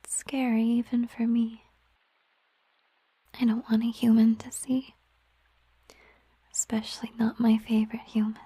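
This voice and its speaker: A woman plays a gentle siren girlfriend in an intimate ASMR roleplay. Her voice is a breathy, mellow whisper, slow and softly musing. It is recorded close and dry. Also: a little high, little pitch movement, no room sound, rough recording. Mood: soft, wistful. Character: gentle, affectionate female siren, a mermaid girlfriend. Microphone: close microphone, dry sound.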